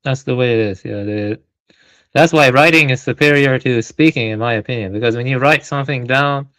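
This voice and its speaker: A man's voice: medium pitch, fairly quick, fairly bright tone, no echo, rough recording. A man in a casual stream discussion who talks hesitantly, thinks aloud and leans on filler words.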